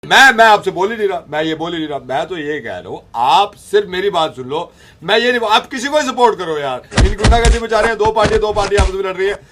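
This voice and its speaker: A man's voice: sits high, expressive, fast, noisy background, voice pushed up. A man playing a flustered, defensive busybody on a prank call, repeating himself as he pleads to be heard. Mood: flustered pleading. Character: pleading, evasive busybody explaining a neighbourhood brawl.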